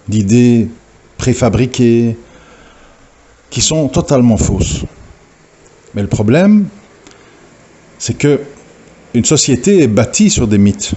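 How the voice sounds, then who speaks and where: A man's voice: lowish voice, mellow, husky. A man giving an informal religious talk, hesitant and full of fillers and restarts as he lectures. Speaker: religious preacher. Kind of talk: informal recorded audio talk.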